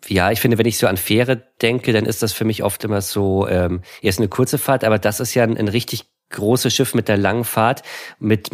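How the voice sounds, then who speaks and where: A man co-hosting a podcast, asking questions and adding unsure asides in casual talk. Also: on the low side. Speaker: podcast co-host, asks the questions.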